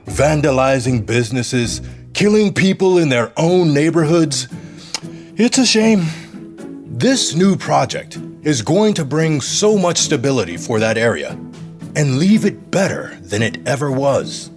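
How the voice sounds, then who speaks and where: Pushy smooth-talking mayor for an audio drama, really animated, kinda high pitched. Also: male voice, a little slow, music in the background. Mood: persuasive, smooth. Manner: smooth politician's charm turning to bossy irritation. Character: slick, scheming, domineering male city mayor. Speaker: actor in a scripted audio drama.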